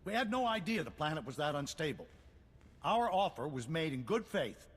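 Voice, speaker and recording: An actor playing an earnest, resolute colony leader in a science-fiction video game, speaking in a formal, emphatic way. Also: male voice, a little high, very animated, normal speed. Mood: defensive. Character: earnest middle-aged male colony leader, grateful and resolute. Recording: clear background noise and ambience.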